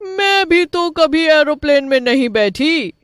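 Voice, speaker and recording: A woman's voice: high-pitched, animated, measured pace, dry recording, studio quality. A woman voicing a gloating, bloodthirsty witch with a menacing, cackling manner in a cartoon horror story. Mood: scheming, gleeful. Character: gloating, bloodthirsty witch who preys on people.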